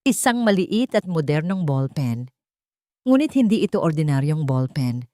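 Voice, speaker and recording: A woman narrates a dramatic story in a lowish, very lively voice. She sets out each short phrase deliberately. The sound is dry and close. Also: no echo.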